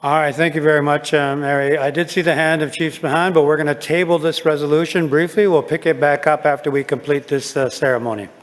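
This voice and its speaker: A man's voice: fairly high pitch, steady tone, brisk, hardly any pauses, in a large hall. A man chairing a formal assembly, polite and procedural as he hands over the floor. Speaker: meeting chair.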